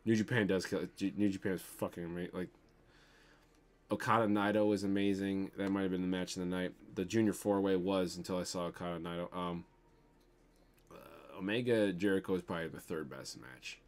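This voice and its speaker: A man's voice: low voice, fairly even intonation, brisk. A young man with a Midwestern accent, chatting loosely on a livestream from his bedroom and answering viewer comments, with lots of ums and casual swearing. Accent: Midwestern American. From American Midwest. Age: young adult. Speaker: toy unboxing livestream host. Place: bedroom, indoors.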